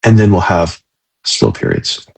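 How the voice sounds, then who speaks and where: A man's voice: deep voice, very animated, measured pace, vocal fry, husky, rough recording. A man hosting a conversational interview, speaking reflectively and hesitantly, repeating words as he searches for phrasing. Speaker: interview host.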